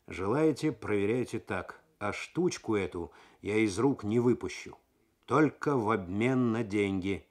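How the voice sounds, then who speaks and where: A man's voice: fairly low pitch, animated, a little slow. A man voicing a brusque, cagey thief in a radio story, curt and dismissive in manner. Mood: mocking.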